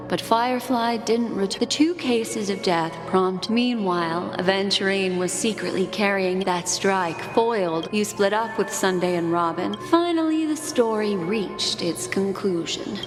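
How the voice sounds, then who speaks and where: An actress playing a poised, mysterious woman guide in a video game, speaking in a measured, intimate and softly teasing way. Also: medium pitch, close to an everyday voice. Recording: long reverb, music behind. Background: game music.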